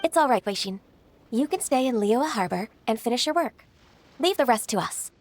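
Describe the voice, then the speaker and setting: An actress playing a gentle, composed and shrewd young secretary in a video game, speaking politely and thoughtfully. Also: female voice, fairly high pitch, lively intonation, normal speed, a little hiss or hum, natural voice. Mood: composed, reassuring.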